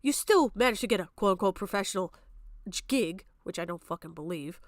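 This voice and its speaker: A woman's voice: mid-range voice, lively intonation, fairly quick, dry recording, studio quality. A woman giving off-the-cuff, argumentative stream commentary in a blunt, exasperated, sweary manner. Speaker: streamer reacting to a video.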